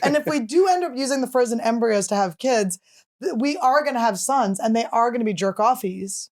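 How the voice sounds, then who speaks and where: This is a woman with a fairly high voice hosting a loose, comedic podcast chat. She is brash and teasing, and swears freely as she goes. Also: animated, a little fast. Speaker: podcast host.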